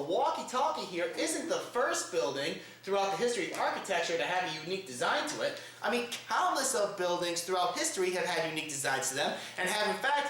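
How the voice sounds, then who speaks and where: A man's voice: high-pitched, a bit bright. A man hosting a comedic news show with a theatrical, exaggerated showman delivery. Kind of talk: comedic news commentary to camera. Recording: roomy, echo-heavy home recording.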